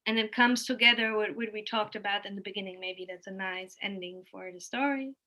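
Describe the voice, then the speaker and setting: A woman's voice: mid-range voice, steady tone, a little fast, sharp tone. A woman speaking as a guest in an unscripted discussion, explaining earnestly in long, linked thoughts.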